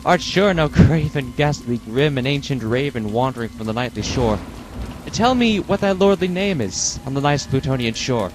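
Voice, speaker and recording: A man's voice: expressive, normal speed, over music, lightly acted. A man doing a frantic grief-stricken mourner. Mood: anxious. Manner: shouting, pleading, high strained cries.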